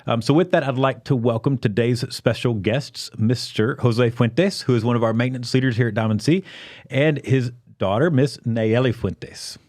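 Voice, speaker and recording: A man hosting a podcast interview, speaking in an encouraging way and filling pauses with frequent fillers and acknowledgements. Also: mid-range voice, a little mellow, dry recording, studio quality. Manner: encouraging, lots of backchannel fillers. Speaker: podcast host.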